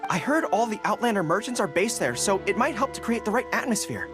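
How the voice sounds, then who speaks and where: A woman voicing a youthful, earnest and modest dog-eared general in a video game, expressive and a little hesitant, with music behind. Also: medium pitch, quick pace, close to an everyday voice. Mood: earnest, unsure. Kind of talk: scripted game dialogue. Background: background music.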